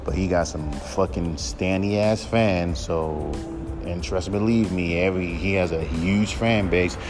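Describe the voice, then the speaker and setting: Man, deep low voice, blunt and profane, solo podcast rant, music behind. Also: a little slow. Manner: blunt, profane, mocks others in high mimicking voice. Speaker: podcast host.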